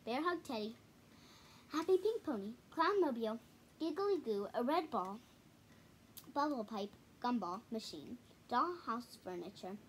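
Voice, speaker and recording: High-voiced young girl reading aloud. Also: very animated, a little hiss or hum, low-quality recording. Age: child, about ten to twelve. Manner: halting reading with stumbles and repeats. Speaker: storybook reader. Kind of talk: children's picture book read aloud with casual asides. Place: small living room at home, indoors.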